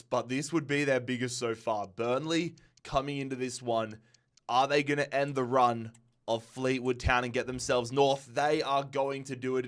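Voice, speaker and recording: Congested, nasal man giving excited game commentary. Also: medium pitch. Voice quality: congested, nasal from a head cold. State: congested with a head cold. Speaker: gaming video host.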